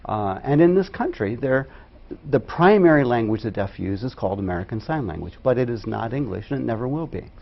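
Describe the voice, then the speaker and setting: Casting: husky ohio man, lively storyteller in an interview, dull lo-fi sound. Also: mid-range voice, fairly quick. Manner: animated storytelling. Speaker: sign language interpreter and author. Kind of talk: interview answers. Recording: dull lo-fi studio recording.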